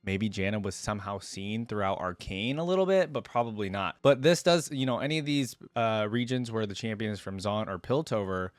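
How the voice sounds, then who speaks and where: A man's voice: on the low side, lively intonation, fairly quick, few pauses, dry recording. A man hosting a reaction video, moving between casual, curious commentary and reading lore aloud in a conversational way.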